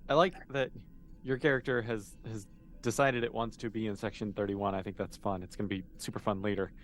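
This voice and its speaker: A man's voice: on the low side, steady tone, fairly quick, faint music behind. A man running a tabletop role-playing game as game master, explaining things in a casual, encouraging way.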